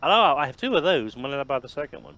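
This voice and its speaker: A man's voice: medium pitch, animated, rapid-fire, sharp tone. A man in his fifties chatting casually on a podcast, joking and repeating words as he gets going. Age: 50s. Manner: jokey, repeats words when starting. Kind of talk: casual chat.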